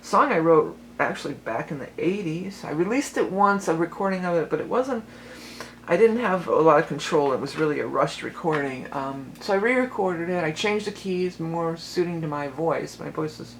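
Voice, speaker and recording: A woman's voice: expressive, moderate pace. Lady reading tarot cards, chatty and rambly, lots of you knows, bit of hiss behind her. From Cleveland, Ohio. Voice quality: lower voice than she once had. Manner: rambling, chatty, many fillers and restarts. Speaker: tarot reader and musician. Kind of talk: casual tarot reading vlog.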